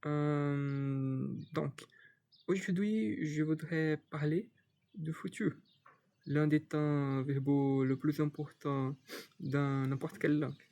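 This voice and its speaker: Slow, hesitant Brazilian man teaching. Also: on the high side, fairly even intonation, mellow. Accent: Brazilian Portuguese. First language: Portuguese. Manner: hesitant, many fillers and restarts. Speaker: Portuguese tutor. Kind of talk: unscripted language lesson.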